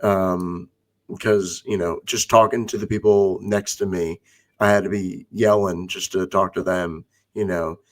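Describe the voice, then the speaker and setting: A man on a fan podcast with a hoarse voice, rambling in casual chat with many "you know" fillers. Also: low-pitched, fairly even intonation, measured pace. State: hoarse from yelling.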